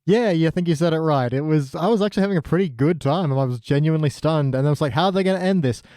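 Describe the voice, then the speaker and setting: Mellow-voiced man, chatting wryly. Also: fairly high pitch, racing, dry recording, studio quality. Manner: wry, sweary, joking. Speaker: podcast guest. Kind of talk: casual chat.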